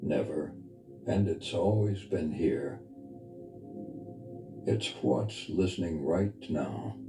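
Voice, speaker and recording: A man leading a guided meditation as a spiritual teacher, speaking in a soft, intimate, earnest and unhurried way. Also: extremely low pitch, animated. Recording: reverberant voice over background music. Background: music.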